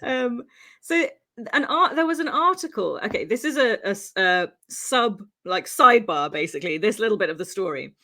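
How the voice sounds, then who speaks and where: A woman's voice: medium pitch, expressive, moderate pace, bright, forceful tone. A woman from Wales, co-hosting a podcast, tells a true crime story in a chatty, laughing way full of ums and asides, her English carrying faint Welsh traces. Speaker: podcast co-host.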